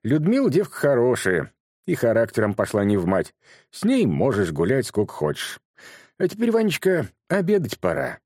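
A shrewd old grandmother, as a man voices her, talking in a folksy, fond lilt that climbs up and down. Her voice is husky and dark-toned, recorded dry and close. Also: mid-range voice, huge pitch swings, moderate pace, no room sound, pitched above the performer's own voice.

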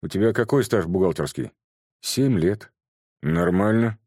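A man voicing a stern, brisk businesswoman who speaks calmly and curtly. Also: deep voice, dry recording, clean studio sound. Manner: calm, curt, matter-of-fact.

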